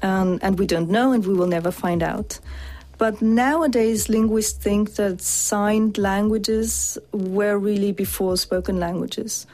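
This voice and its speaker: A woman linguist answering interview questions in a steady, explanatory way. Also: medium pitch, a little slow.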